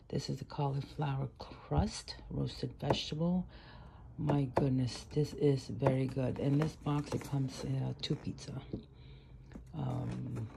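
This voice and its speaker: A woman's voice: extremely low pitch, creaky, noisy background. A middle-aged woman vlogging casually from a parked car, chatting with many fillers about what she bought. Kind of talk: casual haul vlog. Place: parked car, then at home. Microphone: phone camera on the dashboard.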